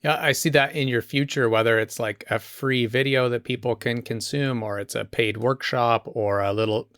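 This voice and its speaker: A man's voice: mid-range voice, fairly even intonation, normal speed, fairly bright tone, no echo, studio quality. A man hosting a podcast, asking questions in a loose, rambling way with many fillers. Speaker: podcast host. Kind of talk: casual interview talk.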